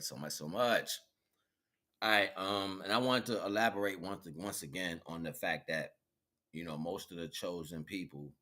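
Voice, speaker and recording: A man's voice: low voice, pushed and bright. A man giving a casual, rambling motivational monologue, thinking aloud in a loose, repetitive way. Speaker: solo spiritual commentator.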